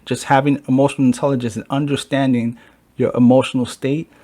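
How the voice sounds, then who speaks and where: A man's voice: mid-range voice, little pitch movement, fast, mellow. A man hosting a casual podcast chat who talks in a loose way and swears freely. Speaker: podcast host.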